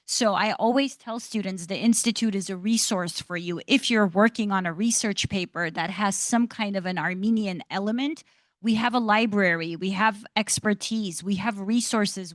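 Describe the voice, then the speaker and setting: A woman's voice: mid-range voice, no room sound. A woman speaking as an institute director on an academic panel, articulate and enthusiastic, encouraging students. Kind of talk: panel discussion answer. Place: lecture hall panel event.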